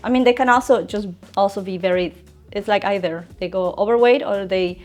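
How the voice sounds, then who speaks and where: A woman in her thirties speaks English with an Ecuadorian Spanish accent. She tells her story in an animated, conversational interview manner. Music plays faintly behind her voice. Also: mid-range voice, brisk. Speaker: interviewee, cat owner.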